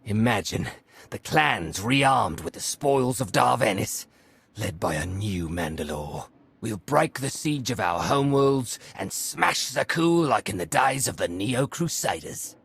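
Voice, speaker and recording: An actor playing a proud, combative clan warrior in a video game, with a gravelly, raspy voice and grandiose delivery. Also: male voice, fairly low pitch, animated, measured pace, a bit bright, no echo, heavily acted. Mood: proud, fierce. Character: proud, hot-blooded male Mandalorian clan warrior.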